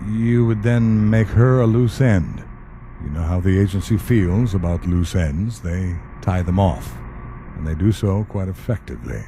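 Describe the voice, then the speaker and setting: An actor in an audio play playing a stern, composed handler to a dead spy, with a measured, formal and dry delivery. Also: male voice, low-pitched, background noise. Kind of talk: audio drama dialogue.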